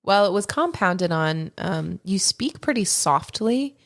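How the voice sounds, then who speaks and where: A woman's voice: mid-range voice, expressive, measured pace. A woman co-hosting a podcast, chatty and upbeat, laughing easily while she talks. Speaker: podcast co-host. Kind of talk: casual podcast chat.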